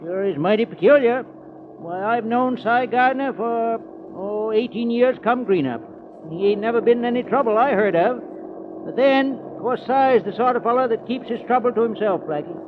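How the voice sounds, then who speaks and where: A man's voice: extremely high pitch, normal speed. A man acting the part of a folksy, drawling rural bus driver in a 1940s radio drama. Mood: folksy, chatty. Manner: drawling rustic speech, folksy. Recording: noisy, tinny 1940s radio recording.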